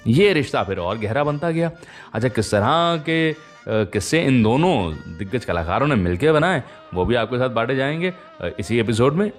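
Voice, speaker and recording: Male radio presenter, conversational and anecdotal, medium pitch with animated swings, addressing listeners directly. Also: normal speed, faint music behind. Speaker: radio show host.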